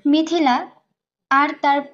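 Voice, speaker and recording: High-voiced woman narrating a romance. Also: steady tone, takes it slowly, a bit bright, lo-fi sound. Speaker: story narrator. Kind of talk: scripted dramatic romance narration.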